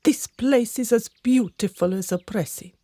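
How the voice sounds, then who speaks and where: A woman's voice: fairly high pitch, moderate pace, dark tone, no echo, clean studio sound, natural voice. A woman playing a fretful, gossiping servant of a noble house in a radio drama, melodramatic and confiding. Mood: gossipy, pitying.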